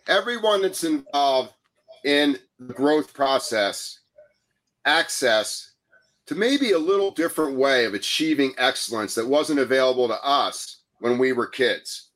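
A man hosting an interview, who also coaches and leads a guided breathing exercise, speaking in a calm, measured, soothing way. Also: mid-range voice, rough recording. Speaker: host, coach.

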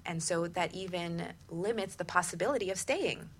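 A woman's voice: on the low side, normal speed, a little hiss or hum. A woman hosting a talk show, giving conversational advice in an emphatic manner.

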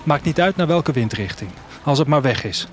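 A man's voice: fairly high pitch, lively intonation, normal speed, a bit bright, slight background noise. A man acting as a wistful, restless traveller, narrating an audio drama in a reflective, literary first-person style. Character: wistful, restless traveller leaving his future city. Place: studio, music behind.

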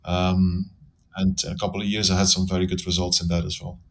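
Flemish guy talking in a podcast interview, lowish voice, lots of ums, takes his time. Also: completely flat delivery. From Belgium. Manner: thoughtful, measured, with many ums and restarts. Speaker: guest. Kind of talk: casual interview conversation.

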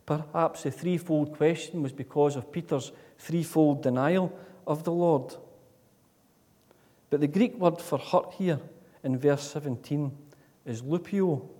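A man preaching a sermon in a church, earnest, with repeated rhetorical questions that swing between loud and soft. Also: a little high, measured pace. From Scotland. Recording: long reverb of a church hall.

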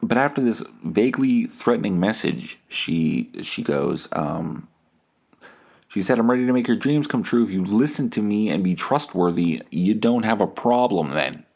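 Low-voiced man telling a comedic podcast story. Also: expressive, moderate pace, gravelly, a little mellow. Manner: foul-mouthed, self-deprecating. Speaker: podcast host. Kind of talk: casual comedic storytelling, acting out text messages.

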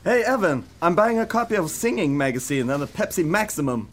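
A man's voice: sits high, big pitch swings, brisk. A man from Norway playing an eager, pushy young band member in an amateur radio play.

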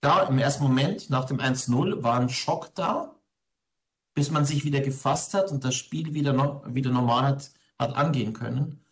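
A man's voice: mid-range voice, normal speed. Reflective man answering in an interview. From grew up in Ingolstadt, Germany.

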